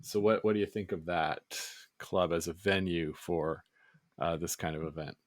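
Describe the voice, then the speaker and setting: A man co-hosting a sports podcast in a relaxed, laid-back way, his voice a little scratchy today. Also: deep voice, moderate pace, no echo, clean studio sound. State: slightly scratchy voice. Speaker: podcast co-host. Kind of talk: casual sports podcast chat.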